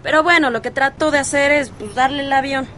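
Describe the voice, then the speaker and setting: A woman's voice: sits high, brisk, a bit bright, slight background noise. An actress playing a chatty, gossipy teenage girl with a Mexican accent, confiding colloquially in a radio soap. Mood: exasperated. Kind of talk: radio soap opera acting.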